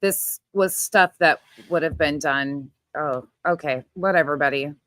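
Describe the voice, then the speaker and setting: A woman's voice: low voice, unhurried, a bit bright, dry recording. A woman giving informal reaction commentary in a blunt, exasperated, sweary way. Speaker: online commentator reacting to another streamer.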